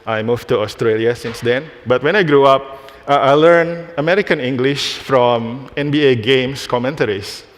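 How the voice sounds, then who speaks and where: A man from Indonesia giving a live technical presentation on a conference stage, restarting phrases as he works through a demo. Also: medium pitch. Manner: halting, restarts phrases while demoing. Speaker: conference speaker. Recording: hall reverb.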